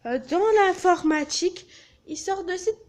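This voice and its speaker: A woman's voice: extremely high pitch, steady tone, moderate pace, background noise. A teenage girl vlogging casually from a small office, joking and swearing as she walks through her work placement. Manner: crude, joking, swears a lot. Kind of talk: casual vlog.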